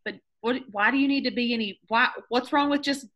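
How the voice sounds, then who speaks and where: A woman hosting a team video call, energetic and emphatic, laughing often and repeating points for effect. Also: a little high, brisk. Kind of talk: motivational group call.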